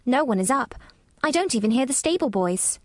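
A woman's voice: sits high, expressive, fairly quick, no echo, studio quality, pitched above the performer's own voice. A woman voicing a frank, inquisitive and eager young girl in a storybook, speaking in an emphatic, wondering way. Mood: eager, delighted. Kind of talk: audiobook character dialogue.

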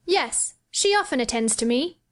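A woman's voice: sits high, lively intonation, normal speed, fairly bright tone, voice pushed up. A woman voicing a lonely, imperious and secretive young boy in an audiobook. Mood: matter-of-fact. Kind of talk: audiobook character voice.

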